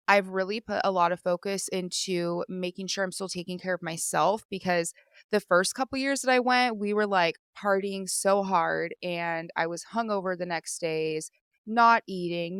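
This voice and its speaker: A woman's voice: lowish voice, clear, resonant tone, dry recording, studio quality. A woman from Washington state hosting her own podcast, chatting casually and rambling with lots of filler words from a hotel room. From Vancouver, Washington, USA. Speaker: podcast host. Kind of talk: casual solo podcast recap.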